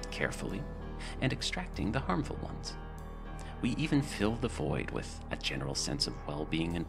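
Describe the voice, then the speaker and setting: ASMR roleplay: male actor as dystopian mind-care technician, gravelly, politely patronising and bureaucratic, music underneath. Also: on the low side. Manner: politely condescending, bureaucratic, with murmured asides. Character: smoothly sinister, falsely reassuring dystopian mental-care technician. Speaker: roleplay performer. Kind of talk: ASMR roleplay, soft clinical patter.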